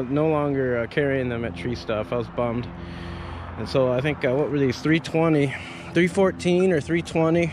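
A man's voice: a little high, noisy background. A man in his thirties, an arborist, giving a casual, rambling gear review from up a tree outdoors on a head-mounted camera, full of fillers and restarts. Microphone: head-mounted action camera.

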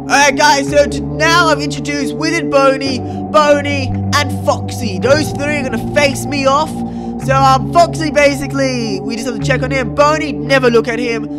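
Young gamer, high voice, excitable, chatty, casual commentary, loud game music. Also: normal speed. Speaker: gaming YouTuber.

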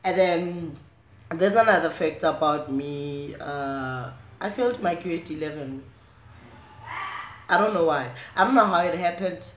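A young South African woman with a low voice, chatting casually to the camera in a small room at home, animated and chatty. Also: unhurried, highs cut off, slight background noise. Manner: chatty, animated, gestures while talking. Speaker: vlogger. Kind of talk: casual direct-to-camera chat. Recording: echoey room.

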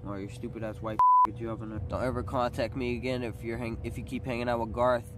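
A man's voice: mid-range voice, flat delivery, fast. A young adult man livestreaming on a phone, ranting in a profane, combative, aggrieved way. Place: outdoors on a city street at night. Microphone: phone at arm's length. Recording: noisy outdoor phone livestream, street noise. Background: street and vehicle noise.

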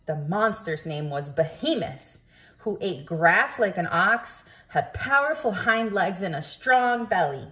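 A woman reading a children's book aloud in a deliberate, expressive way, as if speaking directly to young listeners. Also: on the low side. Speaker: storybook reader. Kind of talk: children's read-aloud narration.

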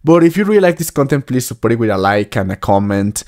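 A man in his twenties giving casual reaction commentary into a desk microphone, with slightly halting, repetitive phrasing. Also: medium pitch, sing-song, darkish tone. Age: 20s. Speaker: reaction video host. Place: small indoor room at a desk. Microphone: desktop microphone up close.

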